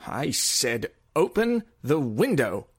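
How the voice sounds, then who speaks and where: An actor playing a nervous, irritable gentleman master in an old-time radio drama, with a gruff, blustering manner. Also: medium pitch, big pitch swings, takes it slowly, exaggerated voice. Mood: irritable, snappish.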